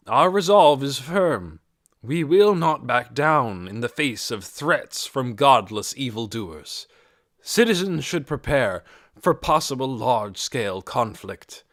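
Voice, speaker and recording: A narrator playing a grave, resolute male president, giving a solemn, deliberate, declamatory address in an audiobook. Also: medium pitch, no room sound, clean studio sound. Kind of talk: formal broadcast address in an audiobook.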